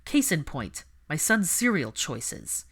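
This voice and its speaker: A guarded, scornful upper-class man played by a woman narrator speaks in a low register. His audiobook dialogue is clipped and formal, full of cool disdain. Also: very animated, takes it slowly, fairly bright tone, slightly rough, exaggerated voice. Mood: scornful, challenging. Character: cold, guarded, contemptuous aristocratic young father.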